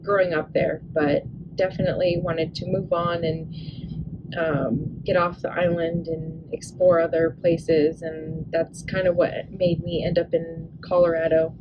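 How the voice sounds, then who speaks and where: A young woman from Hawaii in a casual interview, talking hesitantly with many ums. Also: lowish voice. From Hawaii, USA. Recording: dull sound with clear background noise.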